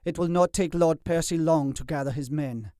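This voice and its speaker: A man's voice: on the high side, normal speed, dark tone, dry recording, studio quality, voice pushed up, natural voice. A man doing a panicked young lord. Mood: urgent. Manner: urgent, pleading. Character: panicked young nobleman, loving and desperate.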